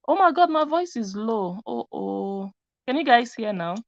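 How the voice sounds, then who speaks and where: A woman's voice: fairly high pitch, animated, slow pace, creaky, dry recording. A woman hosting a live stream, commenting in a chatty way that speaks straight to her viewers. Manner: chatty, talks to viewers directly. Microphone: computer mic. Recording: dry, dull lo-fi sound.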